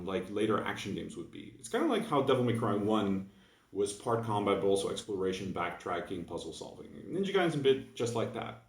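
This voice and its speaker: A young man with a Dutch accent, just woken up, talking through a casual, hesitant monologue for his gaming video full of fillers and restarts. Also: fairly low pitch. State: just woke up. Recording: echoey room, mic at a distance.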